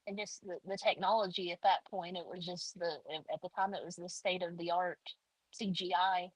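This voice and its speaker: A woman's voice: on the low side, steady tone, a little fast, breathy edge, no echo, low-quality recording. A woman in her forties, an author being interviewed, talking casually with many fillers and restarts. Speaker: author guest.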